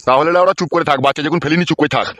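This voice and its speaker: A man's voice: fairly high pitch, rapid-fire, a bit bright, natural voice. A man voicing a greedy, foul-mouthed village uncle in a comedy cartoon, scolding in crude slang. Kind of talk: Bengali comedy cartoon dubbing.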